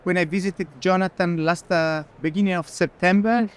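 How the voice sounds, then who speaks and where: Halting man with a higher voice. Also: fairly even intonation, smooth. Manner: halting, repeats words. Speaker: gallerist. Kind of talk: informal explanation of an artwork.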